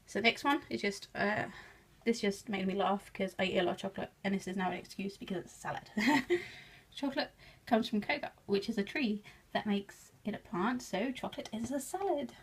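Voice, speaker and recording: A woman's voice: mid-range voice, fairly quick, slightly rough. A woman with an English accent, chatting casually as she shows her craft work, laughing often and joking about herself. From England. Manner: chatty, giggly, self-deprecating. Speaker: craft vlogger. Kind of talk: casual show-and-tell narration.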